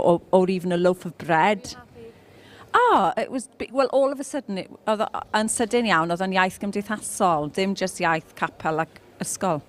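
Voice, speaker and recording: Lady from cardiff, lowish voice, switches languages. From Cardiff, Wales. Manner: bilingual, thoughtful. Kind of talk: casual chat.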